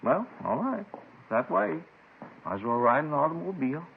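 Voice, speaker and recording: An actor playing a rambling, eccentric robbery suspect with a querulous, folksy American delivery in a 1950s radio drama. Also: male voice, on the high side, big pitch swings, moderate pace, vocal fry. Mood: grumbling, resigned. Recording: tinny, noisy 1950s radio recording.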